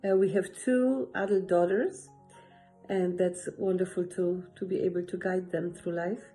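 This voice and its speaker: A middle-aged woman from Poland, a social worker and language teacher, introducing herself warmly. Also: fairly low pitch, fairly even intonation, measured pace, soft background music, poor audio. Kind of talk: personal introduction.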